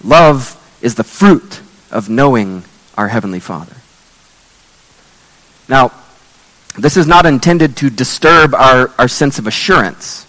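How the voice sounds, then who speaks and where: Male pastor, hoarse, losing his voice. Earnest, measured sermon delivery with a long hall reverb. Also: mid-range voice, expressive, a bit bright. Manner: earnest, measured preaching with scripture readings.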